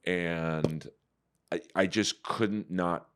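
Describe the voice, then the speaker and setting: A man with a deep baritone voice that sits very low and rings smooth and resonant. He tells stories casually on a podcast, animated and profane. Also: very slow. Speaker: comedian and voice actor guest.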